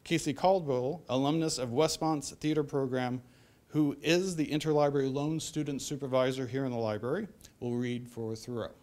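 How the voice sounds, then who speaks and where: A middle-aged man with an American accent speaks at a medium pitch, reading from prepared notes. He delivers a formal introduction from a lectern, as the host of an event. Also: steady tone, normal speed, darkish tone. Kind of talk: prepared introduction speech, read aloud. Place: library event room, at a lectern.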